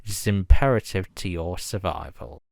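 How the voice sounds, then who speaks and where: A man reading a scripted emergency broadcast announcement in a formal, measured and grave manner. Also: deep voice, gravelly, a little mellow, no echo, clean studio sound.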